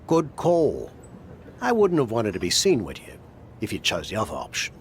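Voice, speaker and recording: Straight-talking wrestling mentor guy in a game cutscene, candid, really lively pitch, bit of background noise. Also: normal speed. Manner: candid, advisory. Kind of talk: video game cutscene acting.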